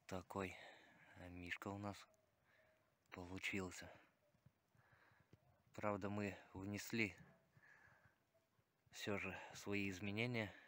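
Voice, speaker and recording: A man explaining his craft casually in plain, halting phrases and short answers, recorded outdoors. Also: lowish voice, pushed and bright. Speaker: wood carver, guest showing his work. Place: outdoors at his garden plot. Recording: faint outdoor background noise.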